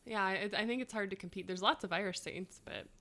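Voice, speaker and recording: A woman's voice: medium pitch, brisk, a bit bright. A woman in her thirties co-hosting a podcast, reading quiz clues and chatting, laughing often. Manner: chatty, laughs often, self-mocking asides. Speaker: podcast co-host.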